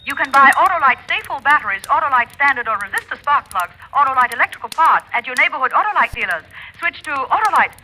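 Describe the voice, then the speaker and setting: An actress playing a perky, chirpy telephone operator in a vintage radio commercial. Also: female voice, sits high, big pitch swings, quick pace, few pauses, bright, forceful tone, heavily acted. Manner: chirpy, sales-like. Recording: noisy vintage radio recording, clicks.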